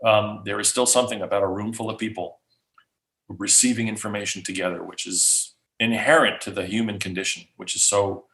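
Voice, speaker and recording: Deep-voiced man answering questions. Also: a little fast. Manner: animated, many restarts. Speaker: guest speaker. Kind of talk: casual Q&A answers. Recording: roomy echo.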